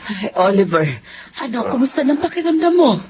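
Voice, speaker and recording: Animated, fussing Filipino older sister. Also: female voice, fairly high pitch, fairly quick, highs cut off. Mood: fussing, scolding. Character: caring, scolding older sister, middle-aged woman. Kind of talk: Filipino radio drama acting.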